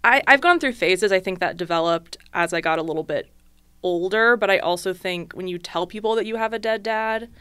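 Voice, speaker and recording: A young woman with a bright, crisp voice, talking in a relaxed, jokey, candid way. She sits at a studio boom mic, and the sound is close and dry, with no room around it. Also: dry recording. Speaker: podcast guest. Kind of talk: casual chat. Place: small podcast studio with colored lights. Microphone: studio mic on boom arm, headphones.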